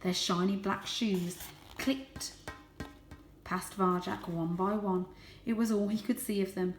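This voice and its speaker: A woman reading a children's book as dramatic narration, lingering on key words and hushing for suspense. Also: medium pitch, fairly even intonation, slow pace, soft background music. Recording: roomy, echoey sound.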